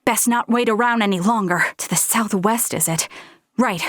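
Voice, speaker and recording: A woman's voice: medium pitch, normal speed, fairly bright tone, dry recording, clean studio sound, lightly acted. An actress playing an earnest, polite and conscientious young female Federation officer in a video game. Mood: brisk, determined. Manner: polite, conscientious, sometimes hesitant.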